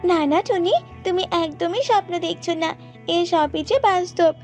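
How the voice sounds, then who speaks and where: Very high, plaintive little banana tree. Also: female voice, unhurried, a little mellow, soft background music. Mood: kindly. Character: helpless tearful banana tree, gentle, grateful, generous. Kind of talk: children's cartoon voice acting.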